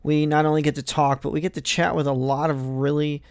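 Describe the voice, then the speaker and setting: A man's voice: fairly high pitch, flat delivery, brisk, darkish tone, smooth, dry recording. A man co-hosting a podcast, easygoing and upbeat, speaking close to the mic. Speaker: podcast co-host. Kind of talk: casual chat.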